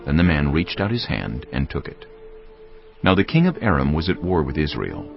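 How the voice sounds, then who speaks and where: A male narrator with an extremely deep, gravelly voice reads scripture in a grave, measured storytelling manner. His delivery rises and falls expressively. Cinematic music plays underneath in a dull, lo-fi recording. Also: sing-song.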